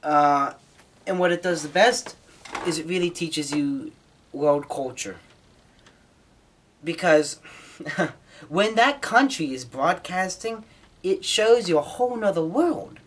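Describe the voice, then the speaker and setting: Higher-pitched American man explaining informally. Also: lively intonation, takes it slowly, pauses often. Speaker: hobbyist presenter. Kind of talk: informal explanatory talk to camera.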